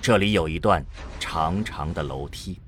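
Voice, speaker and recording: Slow, low-voiced male storyteller. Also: a little hiss or hum. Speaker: narrator. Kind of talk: scripted audio drama narration.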